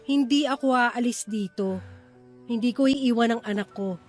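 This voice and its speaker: A woman's voice: on the high side, moderate pace, darkish tone, close to an everyday voice. An actress playing a young bereaved mother in a radio drama, despairing, stubborn and anguished. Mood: numb, bitter. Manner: anguished, tearful, self-blaming. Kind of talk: radio-drama dialogue acting. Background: music.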